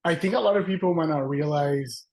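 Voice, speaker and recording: A man's voice: a little high, brisk, darkish tone. A man from Brazil, a native Portuguese speaker, hosting a casual celebrity interview in a gushing, admiring way.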